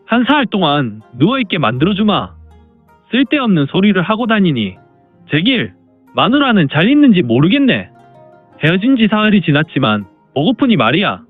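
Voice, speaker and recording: A high, wildly swooping caravan porter, played by a man, grumbles like a henpecked husband who still dotes on his wife. It is martial arts audio drama with an animated, complaining delivery, slightly muffled over music. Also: heavily acted. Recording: band-limited, music underneath.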